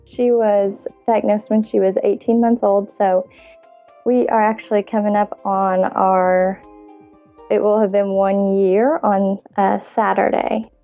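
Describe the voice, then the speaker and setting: A woman's voice: mid-range voice, little pitch movement, a little slow, faint music behind. A woman in her thirties, a podcast guest, chatting casually and laughing often as she tells stories. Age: 30s. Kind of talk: casual interview chat. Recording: phone-line sound.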